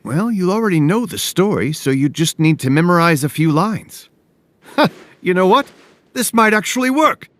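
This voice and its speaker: An actor playing a friendly, apologetic theater troupe leader in a video game cutscene, earnest and easily excited. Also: male voice, high-pitched, normal speed. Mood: eager.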